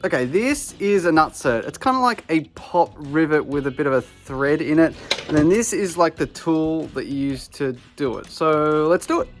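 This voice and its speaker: A man's voice: on the high side, animated, moderate pace, soft background music. Offhand Australian man, DIY vlog. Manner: chatty, offhand, self-deprecating. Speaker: electrician vlogger.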